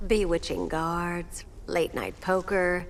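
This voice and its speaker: A woman's voice: on the low side, little pitch movement, creaky, a little hiss or hum. An actress voicing a sultry, menacing game villainess who toys with her prey in mock affection. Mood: taunting nostalgia. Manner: slow, purring, mock-affectionate.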